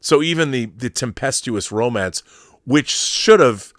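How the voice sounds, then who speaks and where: A man's voice: mid-range voice, animated, unhurried, a bit bright, no room sound, clean studio sound. A middle-aged American man hosting a casual, opinionated livestream, rambling with enthusiasm and emphasis. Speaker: YouTube show host and filmmaker.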